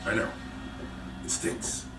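An actor playing a gruff, wry older treasure hunter, the hero's world-weary mentor, in a video game. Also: male voice, takes it slowly, recorded from a distance, heavy background noise, natural voice. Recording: game audio played in an echoey room, music behind.

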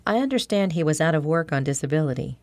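A woman's voice: low-pitched, lively intonation, quick pace, darkish tone, no echo, clean studio sound. A woman playing an earnest, inquisitive social worker in an audio drama, polite and questioning. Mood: polite, probing. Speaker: voice actor.

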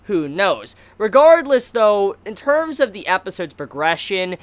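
A man's voice: sits high, sing-song, measured pace. Young American man, rambling casual reviewer. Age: 20s. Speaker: anime reviewer. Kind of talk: casual review talk to camera. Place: small indoor room lined with bookshelves.

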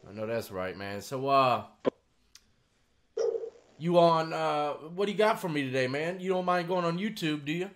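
A man's voice: on the high side, animated, normal speed, long pauses, background noise. A man in his thirties with an American accent, hosting a show in a casual, slangy, informal way. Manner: informal, slangy, addresses listeners as "man" and "y'all". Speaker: host of a story-time video show. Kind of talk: casual chat. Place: small room with gaming setup at home.

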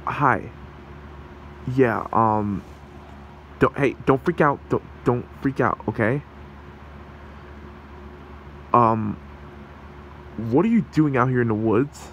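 Slow, creaky, gentle fox shapeshifter, acted by a man. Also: medium pitch, highs cut off, a little hiss or hum. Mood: reassuring, curious. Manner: hesitant, soothing, reassuring. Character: gentle, protective male fox shapeshifter in human form. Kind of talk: ASMR roleplay.

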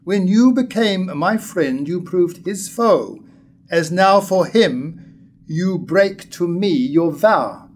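An older man in his seventies playing a bitter, reproachful wronged husband in a staged verse tragedy, measured and declamatory. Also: sits high, expressive, full character voice. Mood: reproachful, solemn. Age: 70s. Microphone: stand microphone at lectern.

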